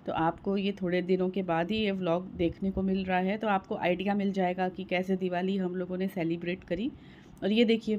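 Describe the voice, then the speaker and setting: A woman's voice: mid-range voice, a little mellow, dull audio. A woman in her thirties chatting casually to the camera in a home vlog, showing things one by one with many fillers. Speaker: home vlogger. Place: bright room at home, later a balcony. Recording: home phone recording with clear background noise.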